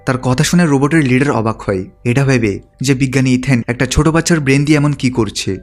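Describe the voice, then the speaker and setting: A man's voice: medium pitch, a little fast. Guy doing a movie recap voiceover, scripted storytelling, bit of music behind him. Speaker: narrator of a film recap. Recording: background music under the voice.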